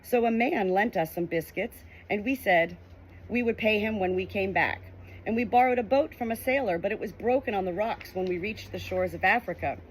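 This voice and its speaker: A woman's voice: mid-range voice, moderate pace, slight background noise, natural voice, rough recording. A woman voicing an earnest, sensible chimpanzee who explains things to others in a storybook. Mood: earnest explaining.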